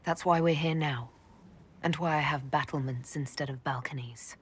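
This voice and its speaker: Casting: purposeful war leader for fantasy game, businesslike, measured, husky lower female voice. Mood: composed. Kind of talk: dramatic fantasy game acting.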